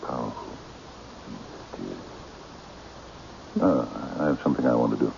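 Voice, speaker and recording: A mysterious, courtly eccentric in a radio mystery play, his voice cavernously deep and dark in tone. He speaks deliberately and hypnotically, with a gravelly edge, giving polite but firm commands. The recording is dull and noisy, like old radio. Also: expressive, full character voice. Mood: softly commanding.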